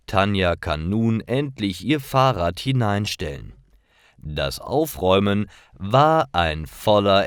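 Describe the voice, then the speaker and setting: A man with a deep voice narrates a simple story for language learners. He reads very slowly and enunciates with care. His intonation moves up and down in a lively way. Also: clear, resonant tone, dry recording, studio quality. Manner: deliberate, carefully enunciated reading. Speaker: narrator of German learning stories.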